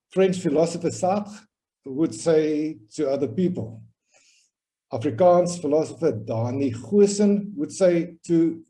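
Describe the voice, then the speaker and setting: A man with a South African accent giving an academic lecture online, measured and wry. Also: fairly high pitch, mellow, low-quality recording. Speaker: guest lecturer, professor. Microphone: video call.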